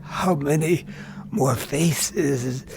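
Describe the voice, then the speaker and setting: An actor playing a tormented, bitter young army lieutenant in an intense radio drama style. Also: male voice, on the high side, drawn-out words, vocal fry, noisy background, heavily acted. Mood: bitter, weary. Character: tormented young army lieutenant who sees death on faces. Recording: radio drama with music underneath.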